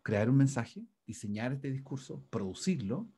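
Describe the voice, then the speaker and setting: A man's voice: medium pitch, very animated, moderate pace, dark tone, husky. A man giving a university lecture over a video call. He rambles with many restarts and fillers and keeps checking in with the class. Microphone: video call microphone.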